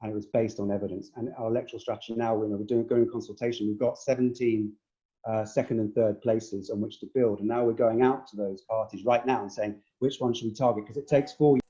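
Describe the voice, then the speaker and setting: A man's voice: lowish voice, fairly even intonation, fast talker, warm tone, dull audio. A man speaking as a leadership candidate at a hustings, earnest and hesitant, with many fillers. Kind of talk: hustings speech.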